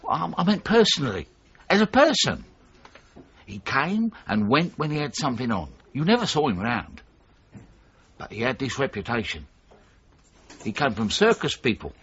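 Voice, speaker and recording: An actor in a radio drama playing a surly, wary getaway driver who talks in a blunt, gruff, defensive way. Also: male voice, mid-range voice, sing-song, moderate pace, long pauses, a bit bright, exaggerated voice. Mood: guarded, insistent. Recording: dull, slightly muffled 1980s radio broadcast.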